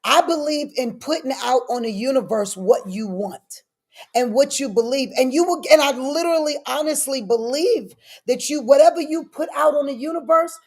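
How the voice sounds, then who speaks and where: A middle-aged woman giving animated, personal answers as an interview guest, speaking emphatically and repeating phrases to drive them home. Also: high-pitched, moderate pace. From born in Frankfurt, Germany; lived in New York City. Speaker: guest, daycare business owner.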